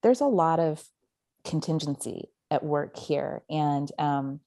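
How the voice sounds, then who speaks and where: A woman novelist talking as a podcast guest in a thoughtful, measured way, qualifying her points. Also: low-pitched, dark tone, no echo, clean studio sound. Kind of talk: casual podcast conversation.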